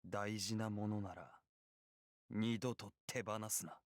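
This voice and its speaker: An actor playing a haughty, emotionless golden servant in a Japanese visual novel, speaking with detached contempt. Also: male voice, lowish voice, fairly even intonation, normal speed, no echo, studio quality, natural voice. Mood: cold contempt.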